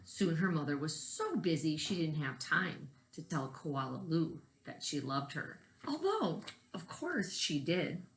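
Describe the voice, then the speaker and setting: A woman's voice: low voice, big pitch swings, a little slow, few pauses. A woman teacher reading a picture book aloud expressively, stressing key words and putting questions to young listeners. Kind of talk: storybook read-aloud with questions to children.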